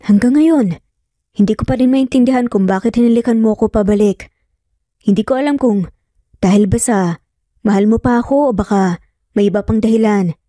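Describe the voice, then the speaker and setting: Woman acting an earnest lovesick man, mellow voice. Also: fairly high pitch, brisk, long pauses, dry recording, studio quality, close to an everyday voice. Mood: pleading, intense. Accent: Filipino. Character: persistent lovesick man, father, earnest and intense.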